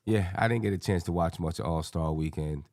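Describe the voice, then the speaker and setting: A man hosts a casual sports fan show in a low, gravelly voice. He sounds hoarse and scratchy and talks in loose, slangy phrasing. The sound is dry, with little room to it. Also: brisk, a little mellow, no echo. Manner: slangy, colloquial.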